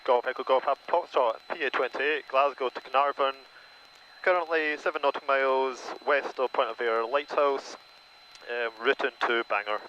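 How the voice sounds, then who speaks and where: A man speaks as a private pilot in short, formulaic radio calls. His voice is medium in pitch. It comes through a muffled cockpit headset, with a light drone of engine noise behind it. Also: steady tone, normal speed, bright, forceful tone. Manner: formulaic, reading back instructions. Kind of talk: clipped aviation radio calls. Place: small propeller aircraft cockpit. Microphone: aviation headset. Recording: dull, noisy cockpit intercom.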